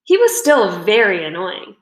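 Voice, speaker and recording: A woman reading a children's book aloud as a storyteller, in expressive scripted narration. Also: medium pitch, a little slow. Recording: roomy indoor echo.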